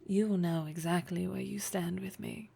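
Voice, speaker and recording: A woman performs a dominant, wealthy lady boss in an intimate audio roleplay. Her voice sits low and mellow with a gravelly fry at the edges. She is poised and flirtatious, teasing with breathy, deliberate formality. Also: steady tone, exaggerated voice. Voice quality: breathy, husky.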